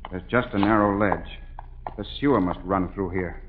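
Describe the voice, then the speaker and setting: A man's voice: deep voice, normal speed, phone-quality sound, close to an everyday voice. An actor in a 1940s radio drama playing an earnest, urgent male suitor with a clipped, dramatic delivery. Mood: tense, searching. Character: earnest, urgent young male suitor searching for his beloved. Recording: noisy 1940s radio broadcast.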